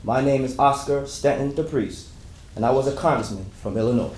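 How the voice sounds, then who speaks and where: A man's voice: mid-range voice, brisk, background noise, natural voice. A man playing a proud congressman, telling a story from his own life as a formal dramatic reading. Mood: proud, wry. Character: Oscar DePriest, proud Illinois congressman recalling a social battle. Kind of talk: first-person dramatic storytelling. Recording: echoey room.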